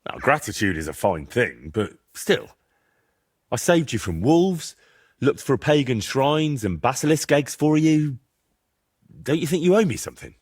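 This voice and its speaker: A man playing a blunt, earnest young adventurer in a medieval video game, husky-voiced and direct in his questioning. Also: mid-range voice, sing-song, measured pace, darkish tone. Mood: wry, demanding.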